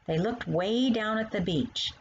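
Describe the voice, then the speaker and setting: A woman narrating a children's story as its author, in an expressive style that acts out the dialogue. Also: medium pitch, unhurried, muffled recording, slight background noise.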